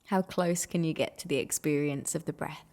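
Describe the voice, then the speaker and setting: A woman guides a meditation in a fairly low voice with a noticeable creak at the edges. She speaks gently and soothingly. She takes her time, leaving long pauses between phrases. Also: fairly even intonation.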